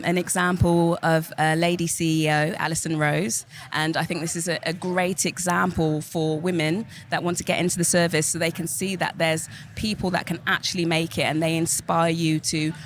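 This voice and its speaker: A young woman in her twenties, a business student, answering interview questions hesitantly with many pauses. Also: low-pitched, a little hiss or hum. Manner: hesitant, many ums, soft-spoken. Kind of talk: podcast interview.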